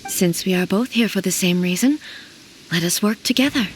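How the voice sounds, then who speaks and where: A stern warrior deity and ruler, acted by a woman, formal and commanding in a mid-range voice. Every phrase is measured and dignified, in anime game style. Faint rain noise runs underneath. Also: medium pitch, close to an everyday voice, poor audio. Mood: stern, resolute.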